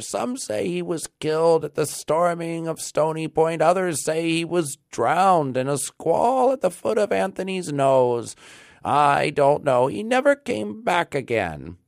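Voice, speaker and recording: A man's voice: on the high side, vocal fry, no echo, clean studio sound, voice pushed up, heavily acted. A man voicing a frail old village gossip in a storybook, with a thin, piping voice and a resigned, rambling manner. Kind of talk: storybook character reading.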